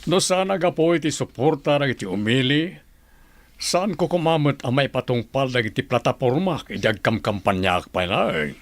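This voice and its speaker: A man acting an earnest, modest provincial governor and fond father in a radio drama, speaking in a measured, sincere way. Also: mid-range voice, animated, no room sound, lightly acted.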